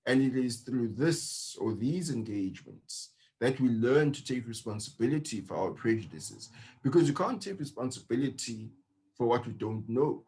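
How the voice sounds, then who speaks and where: Male lecturer, mid pitch, steady and earnest, leans on key phrases. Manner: measured and earnest, with slow emphatic phrases. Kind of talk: reflective interview talk.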